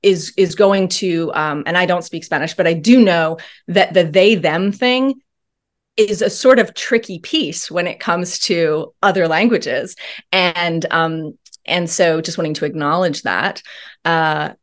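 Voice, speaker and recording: A woman talking as an interview guest in a thoughtful, earnest way with many pauses and ums. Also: on the low side. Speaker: podcast guest. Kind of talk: reflective interview talk.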